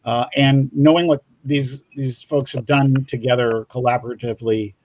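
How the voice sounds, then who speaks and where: Male co-host, medium-pitched voice, measured and supportive, giving casual endorsements. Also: steady tone, a little mellow, lo-fi sound. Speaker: show co-host.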